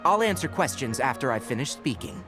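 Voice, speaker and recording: An actor playing a patient young male ranger scholar in a game, measured and explanatory. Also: medium pitch, very animated, over music, close to an everyday voice. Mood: polite. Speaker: game character.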